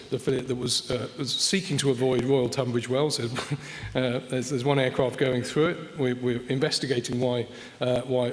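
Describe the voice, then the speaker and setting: A man presents at a conference in a mid-pitched voice. He speaks haltingly, often doubling back on words as he explains his slides. The sound carries the long reverb of a large hall. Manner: hesitant, repeats and restarts words. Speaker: conference speaker from an air traffic control organisation.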